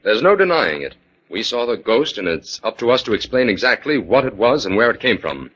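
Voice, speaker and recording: Calm scientist hero, authoritative, lively pitch swings, dull vintage radio sound. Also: male voice, a little fast, a little hiss or hum. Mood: calm, decisive. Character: calm, decisive male scientist detective hero. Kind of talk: 1940s radio acting. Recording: dull 1940s radio recording.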